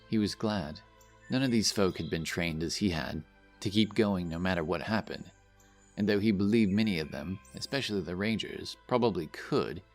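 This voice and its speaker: A man narrating a scripted fantasy story as the narrator of an audio drama. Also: sits low.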